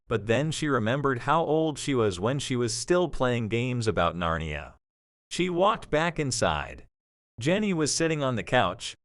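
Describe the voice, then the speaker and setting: A man narrates a scripted audio drama story. His voice sits at a medium pitch and moves with lively, wide intonation, recorded close and dry. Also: dry recording.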